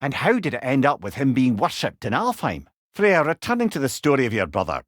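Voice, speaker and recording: A man's voice: mid-range voice, huge pitch swings, a little fast, no room sound, studio quality. A man voicing a witty, wise old storyteller in a video game, with a Scottish accent and an eloquent, wry, conversational manner. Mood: curious, prompting. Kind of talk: dramatic video game dialogue.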